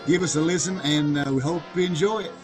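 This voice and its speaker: Guy co-host w highish voice, folksy casual welcome, music playing behind. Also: quick pace, distant mic. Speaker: troupe member and co-host. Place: speaking from behind a podium in a theater.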